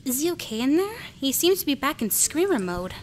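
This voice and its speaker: A woman playing a concerned, gently teasing junior police colleague in an amateur radio drama, polite and tentative. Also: sits high, very animated, fairly quick. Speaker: voice actor in amateur radio play. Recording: faint background noise.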